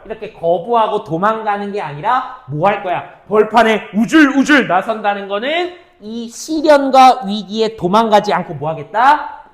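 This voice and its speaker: 생기 있게 묻고 답하는 여성 강사. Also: female voice, mid-range voice. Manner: asks quick questions and answers them herself; mixes casual and polite speech, checks understanding often. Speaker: Korean literature teacher. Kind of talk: exam-prep lecture. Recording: roomy echo.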